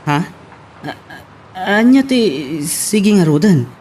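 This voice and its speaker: A man's voice: sits high, big pitch swings, slow, darkish tone, exaggerated voice. A man voice-acting an anxious, devoted, lovestruck suitor in an Ilocano love drama. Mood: eager, smitten. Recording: distant mic, faint noise.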